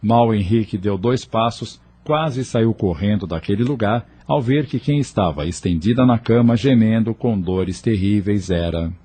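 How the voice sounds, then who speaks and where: A man's voice: lowish voice, measured pace, hardly any pauses, mellow, breathy edge. A man narrating an audio novel in a scripted, dramatic storytelling style, with faint ambient noise.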